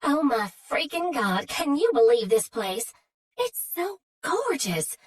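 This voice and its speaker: A woman's voice: high voice, takes it slowly, pitched above the performer's own voice, full character voice. Woman doing a gushing Southern gal. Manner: gushing, excitable, chatty. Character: bubbly gushing Southern woman, early thirties.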